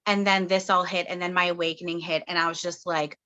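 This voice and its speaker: A woman's voice: on the low side, little pitch movement, fast, bright, forceful tone, muffled recording. A woman in her thirties from Canada, a guest channeler talking in a rambling, earnest way with many fillers on a webinar. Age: 30s. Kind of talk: casual webinar chat.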